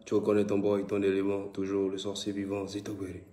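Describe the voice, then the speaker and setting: Male live-stream host, West African French accent, stuffy with a cold, flat delivery, echoey room. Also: low-pitched, fairly quick. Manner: loud, repetitive greetings and shout-outs to viewers. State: congested with a cold. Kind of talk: casual live-stream shout-outs.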